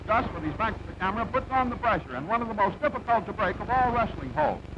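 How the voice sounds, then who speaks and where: Male sports commentator, high pitch, punchy vintage newsreel play-by-play, muffled old film soundtrack, clear background noise. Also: animated, fast. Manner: punchy present-tense play-by-play.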